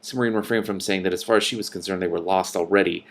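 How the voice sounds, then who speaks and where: A man with a fairly low voice reads fiction aloud as a host. He shifts between narration and casual, exclamatory reactions in an animated manner. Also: fast talker, creaky. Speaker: host reading a novel aloud with commentary.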